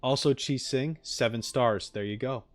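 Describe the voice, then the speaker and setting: A man's voice: mid-range voice, normal speed, dry recording. A man hosting a casual reaction livestream, chatting with viewers in a rambling, playful way and often repeating his points. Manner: playful, jokey banter. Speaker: livestream host reacting to game content. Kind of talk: casual chat with live viewers.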